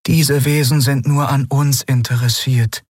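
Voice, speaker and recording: A man voicing a calm, sorrowful winged alien woman who speaks gently and gravely. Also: medium pitch, unhurried, dry recording, clean studio sound, voice pushed up.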